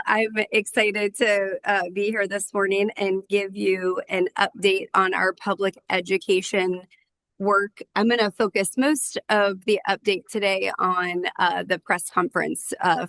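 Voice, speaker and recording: A woman giving a staff update at a board meeting over a video call, a little raspy and jet-lagged, friendly and conversational. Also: mid-range voice, fairly bright tone. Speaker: staff presenter.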